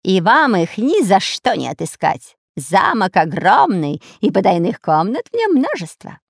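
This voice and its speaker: A woman acts out a long-nosed bandit spy from a children's tale. His voice is nasal and drawling. He sneers slyly, with lively swoops in pitch. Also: measured pace, vocal fry, dry recording, studio quality, full character voice. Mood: smug.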